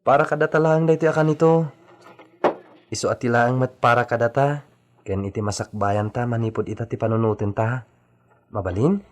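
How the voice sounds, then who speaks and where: A man's voice: medium pitch, fairly even intonation, fairly quick, pauses often, close to an everyday voice. A man acting as an earnest, devoted young graduate coaxing a parent in an Ilocano radio drama. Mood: pleading.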